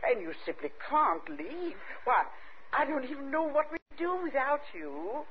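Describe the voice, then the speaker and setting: High-pitched fussy clubwoman. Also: very animated, unhurried. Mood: dismayed, insistent. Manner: polite, prattling, insistent. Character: fussy, chatty, well-meaning clubwoman neighbour. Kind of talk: 1950s radio drama acting. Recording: dull, noisy old radio recording.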